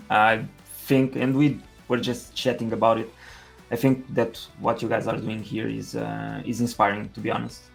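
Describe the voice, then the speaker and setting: A male podcast guest with a Portuguese accent and plenty of vocal fry. He speaks conversationally at an average male pitch, often stalling and repeating words as he shapes his answers. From Portugal, Lisbon area. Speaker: podcast guest, engineering manager.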